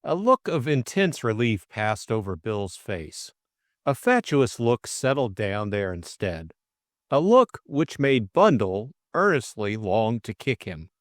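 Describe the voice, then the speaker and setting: Man, audiobook narration, unhurried, highly varied pitch, dry sound. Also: sing-song, dry recording. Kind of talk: scripted story narration.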